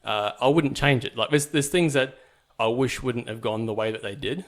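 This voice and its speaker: A man's voice: mid-range voice, moderate pace. A young man from New Zealand, a podcast guest, telling his life story in a casual, rambling way with many fillers and restarts.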